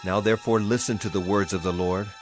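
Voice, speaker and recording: An actor playing a grave, authoritative prophet in a dramatized audio Bible, pronouncing commands solemnly. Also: male voice, fairly low pitch, steady tone, fast talker, natural voice. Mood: grave, commanding. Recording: music under the voice.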